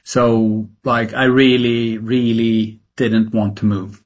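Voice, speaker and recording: A man's voice: mid-range voice, level tone, slow pace, highs cut off. A middle-aged man from Sweden, a native Swedish speaker with a Swedish accent, answering interview questions in a candid, reflective, conversational way. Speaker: tech entrepreneur interviewed as a guest.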